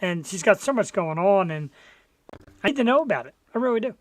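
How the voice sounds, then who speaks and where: A man co-hosting a casual live talk show, chatty and quick to laugh. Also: high voice, animated, dry recording. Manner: chatty, laughs often, hypes the guest. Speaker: co-host.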